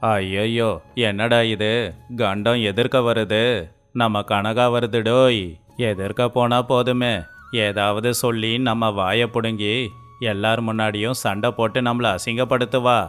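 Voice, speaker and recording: A man's voice: fairly low pitch, flat delivery, a little slow, fairly bright tone, clean tone. A man voicing a timid, nervous village landlord in a moral story, anxious and exclaiming. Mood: alarmed. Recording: faint music underneath.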